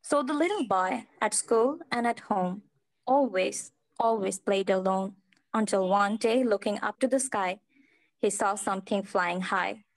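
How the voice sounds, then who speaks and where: A woman's voice: mid-range voice, measured pace, rough recording. A woman reading a children's story aloud as narrator over a video call.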